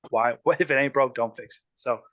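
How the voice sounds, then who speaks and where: A man's voice: mid-range voice, fairly quick, a bit bright, no echo, highs cut off. Chatty man hosting a casual sports podcast. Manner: loose and chatty, many ums and restarts. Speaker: podcast host.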